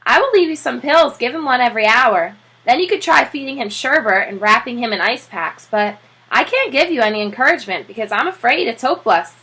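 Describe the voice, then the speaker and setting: A woman's voice: fairly high pitch, expressive, brisk, hardly any pauses, sharp tone, husky, pitched above the performer's own voice, full character voice, poor audio. A woman voicing a grave, knowledgeable male veterinary doctor in a children's storybook, frank and instructing.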